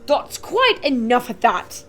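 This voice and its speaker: Woman doing a fat, excitable shopkeeper man. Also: normal speed. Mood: firm. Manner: shouting excitedly, high and loud.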